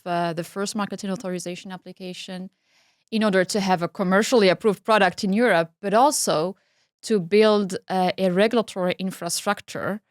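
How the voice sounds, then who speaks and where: Articulate middle-aged woman, smooth voice. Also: medium pitch, dry recording, clean studio sound. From Algeria. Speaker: guest, pharma regulatory head. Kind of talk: interview conversation.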